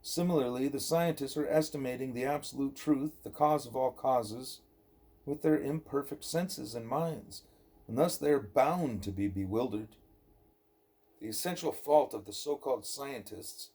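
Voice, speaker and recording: Husky, mellow man reading aloud. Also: mid-range voice, normal speed. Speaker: audiobook reader. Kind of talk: read-aloud spiritual dialogue, scripted narration.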